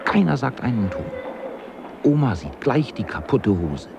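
A man's voice: mid-range voice, big pitch swings, measured pace, gravelly, noisy background. A man narrating a scripted children's radio play as an observant storyteller.

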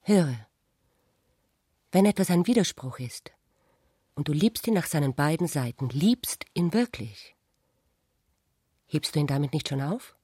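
An actress playing an earnest younger woman in a literary radio drama, with a husky voice. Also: deep voice, animated, measured pace, pauses often, warm tone, no room sound, studio quality, heavily acted. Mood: earnest, probing.

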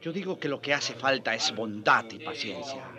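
An actor playing an earnest, defiant friar in a radio drama, preaching and pleading. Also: male voice, medium pitch, animated, moderate pace, bright, forceful tone. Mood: earnest, respectful. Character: earnest, defiant Spanish friar defending the natives.

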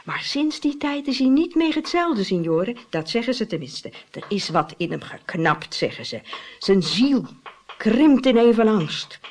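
A pious, gossipy widow in a radio play. Her highish voice swoops widely as she confides grisly scandal with relish and keeps checking that her listener follows. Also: very animated, unhurried, a little mellow, a little hiss or hum. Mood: confiding, ominous. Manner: dramatic, confiding storytelling with tag questions. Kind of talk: radio drama acting.

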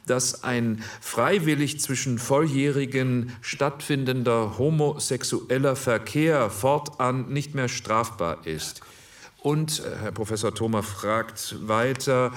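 A man's voice: medium pitch, recorded from a distance, natural voice. An actor playing a formal, precise male jurist in a 1948 committee debate for a radio play.

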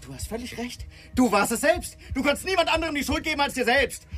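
A man's voice: very high voice, lively intonation, quick pace, fairly bright tone, faint music behind. An actor playing a cornered, evasive man who stonewalls and dismisses an old friend in a German radio drama. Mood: accusing.

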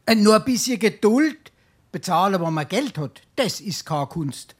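Penniless charming scrounger, Darmstadt dialect comedy. High, husky, wheedling, theatrically courteous. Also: male voice, very animated, measured pace, full character voice. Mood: wheedling, glib. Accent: Hessian, Darmstadt dialect. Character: wily, penniless sponger, a glib charming idler. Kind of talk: dialect stage comedy acting.

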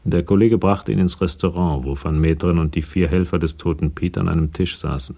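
A man's voice: sits low, a little fast, vocal fry, dark tone. A man narrating a police crime radio drama from a script. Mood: measured.

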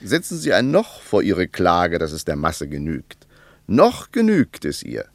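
An actor playing a reflective man who argues in a measured, lecturing way, in an old radio play. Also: deep voice, huge pitch swings, gravelly, no echo, heavily acted. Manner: measured, argumentative. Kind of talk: 1950s radio play acting.

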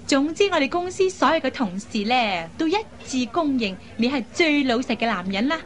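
A lively young woman in a radio drama. Her voice sits high and she talks in a chatty, coaxing way, headstrong and full of expressive particles. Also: a little fast. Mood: earnest, coaxing. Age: young adult. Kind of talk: Cantonese radio drama acting.

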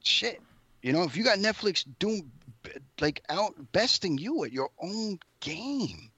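A man's voice: high-pitched, sing-song, a little slow, sharp tone, slightly rough, no echo, lo-fi sound. A man chatting casually about TV shows, rambling and slangy, with some swearing.

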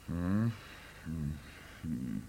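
A sleepy woman in bed with an exceptionally low voice that moves up and down as she murmurs. She is wry and dryly teasing, in an intimate radio drama with faint noise behind. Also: big pitch swings, extremely slow, creaky, mellow, exaggerated voice. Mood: drowsy, teasing. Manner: drowsy, murmuring, dryly ironic. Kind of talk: intimate Dutch radio play acting. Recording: faint clicks and background noise.

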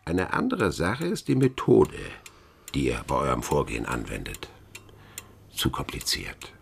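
An actor playing a shrewd, weary older police inspector in a German radio crime drama, gravelly, speaking in a dry, deliberate, lecturing way. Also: male voice, a bass voice, wildly swooping pitch, a little hiss or hum, full character voice.

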